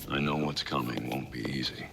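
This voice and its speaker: A very deep, gravelly voice carries this grave vigilante mentor. He speaks in solemn, measured, terse phrases like a final message in a game cutscene, with loud noise and sirens behind him. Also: fairly even intonation, exaggerated voice. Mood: grim, resolute. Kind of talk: dramatic video game cutscene monologue.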